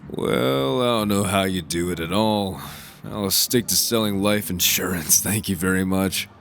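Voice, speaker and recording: A man's voice: sits low, measured pace, vocal fry, a bit bright, slight background noise, full character voice. A man playing a jovial, blunt middle-aged insurance salesman and family man in a radio drama, talking in a joking, folksy way.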